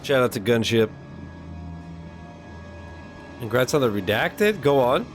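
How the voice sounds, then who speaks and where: A man's voice: medium pitch, sing-song, brisk. Guy streamer chatting casually, jokes n swears, bit of music behind him. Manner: irreverent, joking, swears casually. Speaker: streamer reacting to video game cutscenes. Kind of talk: casual chat. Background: video game cutscene audio and music.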